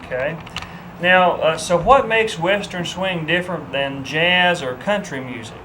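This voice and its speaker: A man hosting a local TV interview puts questions to a guest, hesitating often. His voice sits a little high, and a faint hiss sits under it. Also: a little slow, sharp tone, low-quality recording. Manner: hesitant, reading questions with fillers.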